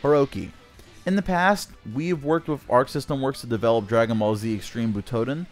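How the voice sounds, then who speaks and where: Guy reading scripted gaming news for a channel, average pitched voice, narrating an interview. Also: a little fast, soft background music.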